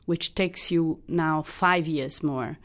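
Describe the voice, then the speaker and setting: A woman in her sixties from Italy with an Italian accent, a hematologist answering interview questions in a halting, thoughtful way. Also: deep voice. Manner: thoughtful, halting, many restarts and fillers. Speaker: hematologist guest. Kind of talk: interview, explaining her career.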